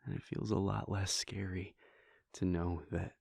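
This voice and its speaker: A man voicing a devoted adult vampire prince in an audio roleplay, speaking in a hushed, halting, intimate way close to the microphone. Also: low voice, flat delivery, vocal fry, slightly rough, dry recording, heavily acted. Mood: reflective. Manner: hushed, halting and intimate, with soft sighs and pauses. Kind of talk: audio roleplay aimed at the listener.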